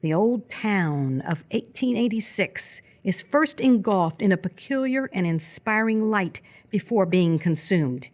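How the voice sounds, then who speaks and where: A woman's voice: medium pitch, expressive, unhurried, few pauses, a little mellow. A woman reading scripted stage directions as the narrator of a live radio theatre piece. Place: live stage performance before an audience.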